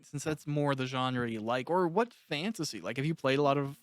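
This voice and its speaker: A man's voice: medium pitch, brisk, dry recording. A man hosting a casual interview, musing informally as he puts questions and follows ideas.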